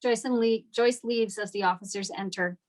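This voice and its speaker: A woman's voice: on the high side, fairly even intonation, moderate pace. A woman giving scripted narration, reading out stage directions at a table read.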